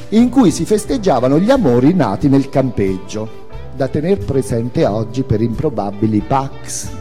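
A man's voice: medium pitch, warm tone, in a large hall. A man giving a theatrical reading of autobiographical prose as its author and narrator, with dramatic, emphatic phrasing.

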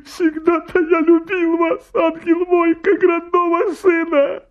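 A man's voice: extremely high pitch, level tone, takes it slowly, highs cut off. An actor playing a fussy, effusive old landowner father who rambles tearfully in a comic radio play. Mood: overjoyed, tearful. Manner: gushing, tearful, rambling with pet phrases. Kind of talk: stage comedy acting in a radio play.

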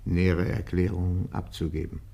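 A man's voice: deep voice, little pitch movement, moderate pace, creaky, mellow. A man playing a courteous but firm police interrogator in a German radio crime play, formal and controlled. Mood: grave, considerate. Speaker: actor in a radio crime drama.

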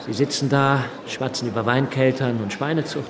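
An earnest, exacting male deputy played by a man with a medium-pitched voice. He speaks in a measured, disapproving way, as in radio drama, with clear urban noise around him. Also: steady tone, close to an everyday voice. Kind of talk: radio play acting. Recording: clear outdoor street noise behind.

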